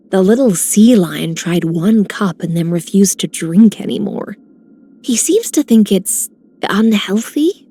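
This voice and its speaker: A woman playing a calm, coolly detached female warrior in a video game, with a soft, husky voice. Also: lowish voice.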